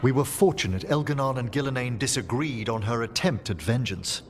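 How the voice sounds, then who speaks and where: An actor playing a refined scholarly gentleman in a video game, formal and precise. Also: mid-range voice, animated, brisk, slight background noise, lightly acted. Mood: grave, formal. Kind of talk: video game cutscene acting.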